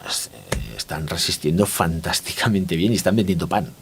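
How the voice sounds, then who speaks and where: Very deep-voiced man from Spain. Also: very animated, normal speed, creaky, a little hiss or hum. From Barcelona, Spain. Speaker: interview guest, consumer market expert. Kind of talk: conversational interview, explanatory talk.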